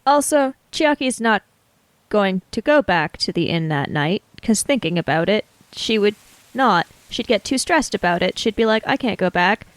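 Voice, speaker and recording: A woman's voice: medium pitch, animated, normal speed, dry recording, low-quality recording. A woman who is a player in a tabletop roleplaying game, describing what her character does.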